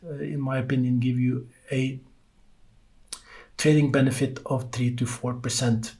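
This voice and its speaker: Norwegian man hosting a halting market podcast. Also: mid-range voice, warm tone. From Norway. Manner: halting, many uh fillers, loose non-native phrasing. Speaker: podcast host.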